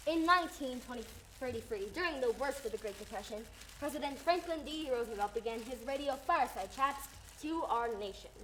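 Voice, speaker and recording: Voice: sits high, lively intonation, a little fast, bright, forceful tone, poor audio. A young teenager playing a theatrical, folksy co-host in a scripted old-time radio show. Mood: folksy, announcing. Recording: faint background noise.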